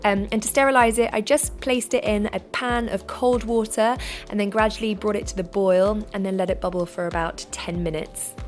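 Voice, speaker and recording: A woman with a slightly croaky voice, chatting cheerfully and self-deprecatingly through a how-to vlog. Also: medium pitch, darkish tone. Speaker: vlogger.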